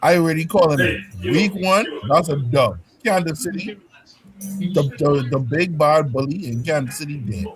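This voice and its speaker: A man's voice: on the high side, expressive, moderate pace, rough recording. Confident man, crowd noise behind. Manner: confident, dismissive. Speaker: guest fan. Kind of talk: casual sports debate.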